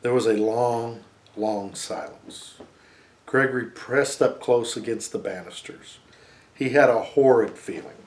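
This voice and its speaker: A middle-aged man reads a story aloud in a medium-pitched voice. His delivery is expressive storybook narration, as a father would give to his family. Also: a little slow.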